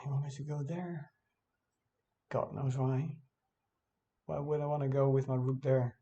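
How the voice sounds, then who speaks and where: Man, non-native English speaker, mid-pitched voice, halting, self-correcting, lots of fillers, casual game review. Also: level tone, mellow, lo-fi sound, a little hiss or hum. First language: not English. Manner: hesitant, self-correcting, thinks aloud with many fillers. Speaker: chess vlogger reviewing his own game.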